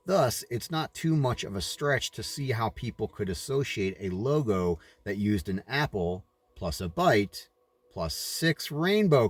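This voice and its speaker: Male book reader, lowish register, dry sound, deliberate and emphatic, slips into informal asides. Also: no echo. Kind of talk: read-aloud narration with informal commentary.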